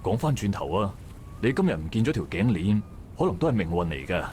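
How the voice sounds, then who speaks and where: An actor playing a calm, steady male dockworker who gently reassures a friend in a visual novel. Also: sits low, quick pace, a little hiss or hum. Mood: gentle reassuring. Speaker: game character. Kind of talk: voiced visual novel dialogue.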